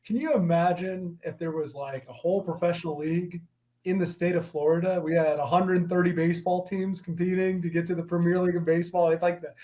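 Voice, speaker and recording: A man with a high voice for a man. He talks in playful, rambling riffs during casual podcast banter. He sits close to a podcast microphone. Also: fairly even intonation, a little fast, warm tone. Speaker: podcast co-host. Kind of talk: casual chat.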